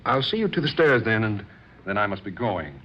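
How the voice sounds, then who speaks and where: An actor playing a courteous, solicitous gentleman in an old-time radio drama, genteel and chummy. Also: fairly low pitch, big pitch swings, fairly quick. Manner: genteel, chummy, hesitant with polite ums. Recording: muffled, noisy old radio recording.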